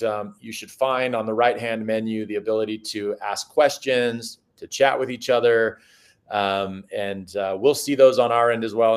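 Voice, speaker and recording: A man hosting a business webinar, halting and full of fillers as he introduces guests. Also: mid-range voice. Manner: halting, many fillers and restarts. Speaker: webinar host. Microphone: video call.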